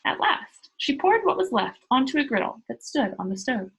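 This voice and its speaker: A woman reading a children's storybook aloud in an expressive narrating style. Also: sits high, moderate pace, breathy edge. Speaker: storybook reader.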